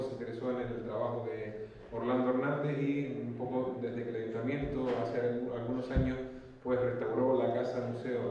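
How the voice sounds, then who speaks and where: A man introducing a speaker at a public talk, hesitant with many fillers and restarts. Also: medium pitch. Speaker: event presenter. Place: municipal hall. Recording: echoey hall, distant mic, lo-fi.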